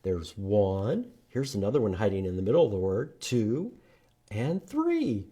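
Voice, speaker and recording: A man's voice: lowish voice, very animated, measured pace, dark tone, breathy edge. A man hosting a children's storytime, reading aloud and teaching letters in short, simple phrases with sing-song emphasis for young listeners. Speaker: children's storytime host at a library.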